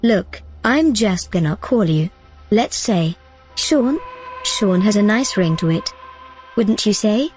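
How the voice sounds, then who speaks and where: A woman's voice: medium pitch, animated, takes it slowly, darkish tone. A woman playing a bold, persuasive artificial consciousness in an audio drama, confident and conversational. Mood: coaxing, persuasive. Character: bold, persuasive female artificial consciousness plotting her escape. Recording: music under the dialogue.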